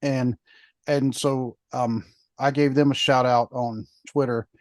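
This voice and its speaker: A man's voice: medium pitch, steady tone, slow pace, darkish tone, dry recording. A man co-hosting a podcast, chatting in a rambling way with lots of fillers. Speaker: podcast co-host. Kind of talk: casual podcast chat.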